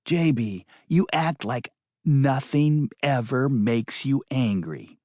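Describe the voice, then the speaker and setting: Man voicing a bossy, exasperated woman, swooping pitch. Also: expressive, slow, dark tone, no echo, clean studio sound. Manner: emphatic, lecturing. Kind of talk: audiobook character voice.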